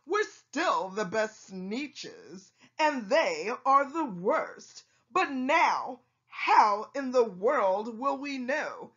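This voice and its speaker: A proud, snooty bird-like creature from a rhyming storybook, played by a woman. The voice is high and animated, exclaiming and boasting. The sound is a little dull and lo-fi. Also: very slow, hardly any pauses, heavily acted. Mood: dismayed, snooty. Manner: exclaiming, taunting. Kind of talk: rhyming storybook read-aloud.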